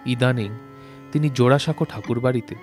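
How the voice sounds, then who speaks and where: A man's voice: medium pitch, a little fast, a little mellow, faint music behind. A man narrating a literary story from a script in a recording studio.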